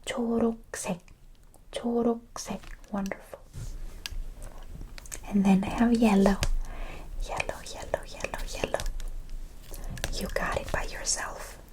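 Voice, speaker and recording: A woman teaching a language in a breathy ASMR whisper, encouraging and repeating words syllable by syllable. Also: mid-range voice, little pitch movement, a little slow, long pauses, darkish tone.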